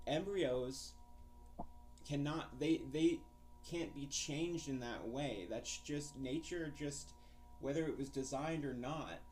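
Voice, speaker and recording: A man's voice: mid-range voice, slow, a little mellow, faint music behind, poor audio. A man in an argumentative phone debate, pressing his questions persistently and challenging the other side.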